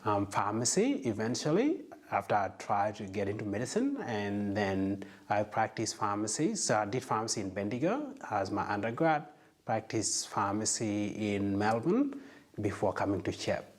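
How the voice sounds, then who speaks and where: A young man from South Sudan speaks in a low voice, reflective and earnest. He tells his own story at an unhurried pace in a relaxed sit-down interview. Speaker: medical student, interviewee. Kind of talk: casual interview.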